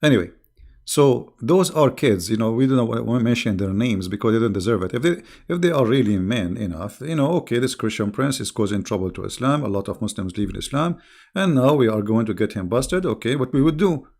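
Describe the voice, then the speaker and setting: A man with a gravelly voice and an Arabic accent talks straight to his viewers in a casual monologue. He is combative and mocking, often pausing on fillers. His voice sits at an ordinary middle pitch. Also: expressive, darkish tone. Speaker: online debater.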